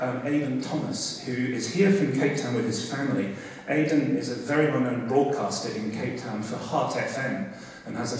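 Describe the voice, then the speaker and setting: A man hosting a university talk, giving background with many fillers and restarts, with a loud voice. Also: medium pitch, a little hiss or hum. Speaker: host introducing a guest lecture. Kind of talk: academic lecture. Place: large hall. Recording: echoey hall from far off.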